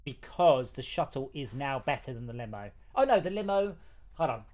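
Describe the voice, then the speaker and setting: A man's voice: medium pitch, very animated, normal speed, darkish tone. A man chatting casually as the developer on a live game stream, rambling and teasing in a jokey, exasperated way.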